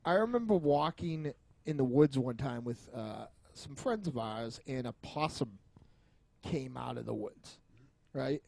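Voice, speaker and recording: A man rambles through casual podcast chat with a joking, foul-mouthed manner. His voice is dark and relaxed with plenty of creak, and he sounds close and dry. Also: medium pitch, a little slow, dry recording. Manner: profane, joking. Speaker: podcast co-host.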